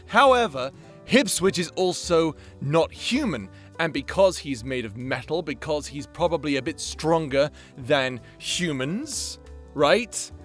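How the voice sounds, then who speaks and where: A man's voice: fairly high pitch, lively intonation, measured pace, fairly bright tone, soft background music. A man hosting a channel with casual, sweary, rambling commentary in an informal, chatty way. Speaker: creator and host of a fan-facing channel.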